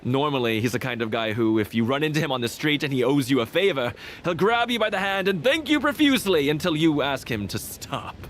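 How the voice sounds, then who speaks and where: Chatty, lively merchant shopkeeper. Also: male voice, a little high, a little fast, few pauses, slight background noise. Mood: puzzled, chatty. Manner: talkative, folksy, earnest. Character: chatty, shrewd, neighborly male merchant shopkeeper. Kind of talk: video game voice acting.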